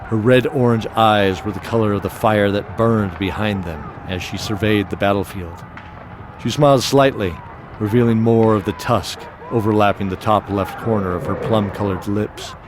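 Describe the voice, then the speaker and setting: A man's voice: lowish voice, moderate pace, noisy background. A man narrating a scripted fantasy story with dramatic, descriptive storytelling. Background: music.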